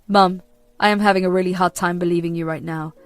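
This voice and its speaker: A woman's voice: lowish voice, steady tone, quick pace, no echo, soft background music, pitched below the performer's own voice, natural voice. A woman voicing a torn adult son who answers his mother in a firm, earnest, weary way.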